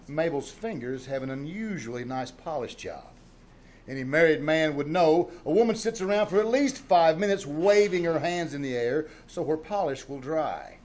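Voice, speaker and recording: An actor playing a shrewd, self-assured small-town sheriff in an old-time radio mystery, deliberate and authoritative. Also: male voice, mid-range voice, darkish tone, close to an everyday voice. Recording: noisy live theater stage recording.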